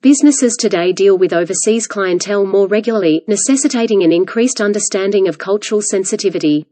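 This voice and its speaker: A woman reading a study passage aloud in a measured, formal way. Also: mid-range voice, dark tone, dry recording. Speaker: reader of practice exam passages.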